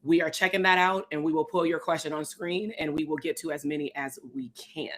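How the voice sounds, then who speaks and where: Woman, 30s, hoarse; low-pitched stream host, laid-back and chatty with viewers. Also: a little fast, slight background noise. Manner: relaxed, talks straight to viewers as y'all. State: hoarse, losing her voice. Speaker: psychologist and host. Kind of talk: live stream chat.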